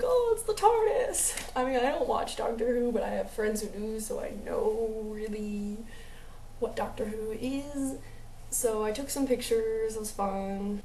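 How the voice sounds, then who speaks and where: A woman's voice: a little high, mellow, echoey room, distant mic, slight background noise, poor audio. A young American woman with a mixed New Jersey and slightly Southern accent, telling a rambling, chatty vlog story full of fillers. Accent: mixed American, New Jersey with a light Southern drawl. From United States. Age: young adult. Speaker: vlogger.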